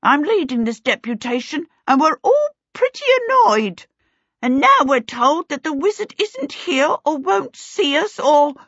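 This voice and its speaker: A woman's voice: very high voice, sing-song, measured pace, vocal fry, a bit bright, dry recording, dull audio, voice pushed up, heavily acted. A woman voicing a small, aggressive male kobold chieftain, blustering and indignant, in a storybook reading.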